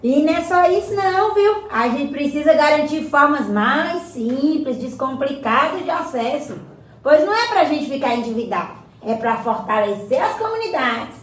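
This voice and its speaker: A woman's voice: sits high, expressive, normal speed. A woman playing a folksy, outspoken community woman in a radio soap, speaking emphatically and colloquially. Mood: enthusiastic. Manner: colloquial, emphatic, seeking agreement with tag questions. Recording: roomy, echoing voice recording.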